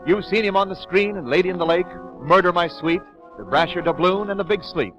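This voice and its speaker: A man's voice: high-pitched, fairly quick, fairly bright tone, dull audio. A man announcing in a grand, promotional 1940s radio style, as a sponsor's announcer. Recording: old radio broadcast with music behind.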